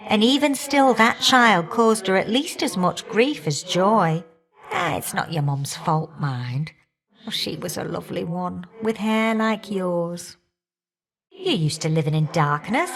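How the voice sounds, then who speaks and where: A woman's voice: mid-range voice, a bit bright. A woman voicing an older goddess of the hearth in a video game, blunt, hot-tempered and affectionate, with a folksy, teasing, auntie-like way of talking. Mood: wistful, then reflective. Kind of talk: video game character dialogue.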